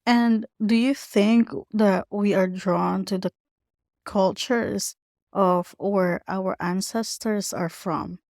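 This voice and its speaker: A woman hosting a podcast who asks her guest questions in an unhurried way. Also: medium pitch, fairly even intonation, darkish tone, dry recording, clean studio sound. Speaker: podcast host. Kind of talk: interview questions.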